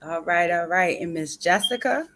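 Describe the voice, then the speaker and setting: A woman's voice: fairly low pitch, expressive, normal speed, a bit bright. A woman hosting an online book tour interview, upbeat and chatty. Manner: upbeat, chatty, uses y'all. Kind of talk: casual interview.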